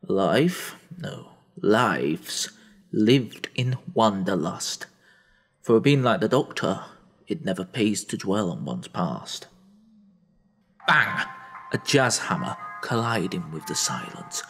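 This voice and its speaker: A man narrating a dramatic audio story in a theatrical, brooding manner, with heavy pauses. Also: on the low side, sing-song, a bit bright, breathy edge, soft background music.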